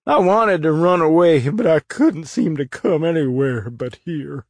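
A man's voice: on the high side, animated, measured pace, a little mellow, no room sound, studio quality, voice pushed up. A man voicing a frightened accused man who pleads and sobs as he protests his innocence, in a storybook reading. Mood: moaning. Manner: pleading, tearful.

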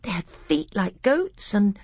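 Woman putting on a high-pitched little boy prince. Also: very animated, slow, a little mellow, breathy edge, no echo, full character voice. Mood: eager, excited. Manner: artless, breathless childlike babbling. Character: very little boy prince, innocent, eager, dreamy. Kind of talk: acted storybook dialogue.

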